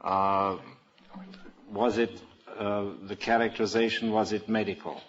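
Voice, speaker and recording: Low-pitched male panelist, muffled old recording. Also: little pitch movement, measured pace. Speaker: quiz panelist. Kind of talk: unscripted questioning on a panel show. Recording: dull, lo-fi old radio recording.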